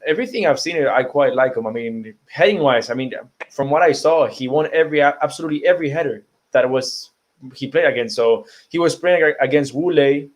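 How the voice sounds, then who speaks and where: Man, mid-range voice, guest pundit, thoughtful, "i mean" fillers. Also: mid-range voice. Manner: thoughtful, fills pauses with "I mean". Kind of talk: casual football discussion.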